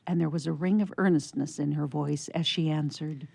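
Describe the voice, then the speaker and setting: A woman narrator reading an old-fashioned story in a measured, expressive storybook style. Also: low-pitched, vocal fry, breathy edge, no room sound.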